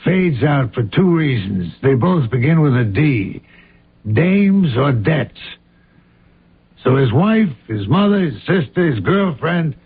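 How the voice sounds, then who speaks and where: A breezy, cynical police inspector in an old radio drama. His voice is fairly high and rough, drawling slowly in offhand slang through a muffled recording. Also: heavily acted.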